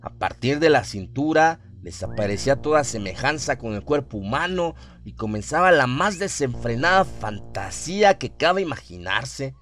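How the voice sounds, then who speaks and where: A man narrating a scripted horror story in a measured, deliberate way, with drawn-out phrasing. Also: mid-range voice, very animated, fairly bright tone. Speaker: narrator of a horror audio drama. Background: music.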